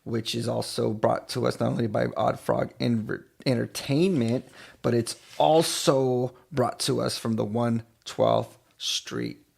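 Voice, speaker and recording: A man from East Los Angeles with a gravelly voice, chatting casually to listeners as a radio DJ and show host, repeating phrases as he goes. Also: mid-range voice, steady tone, a little slow, darkish tone. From East Los Angeles, California. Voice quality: gravelly, creaky voice. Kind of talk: casual radio DJ chat between songs.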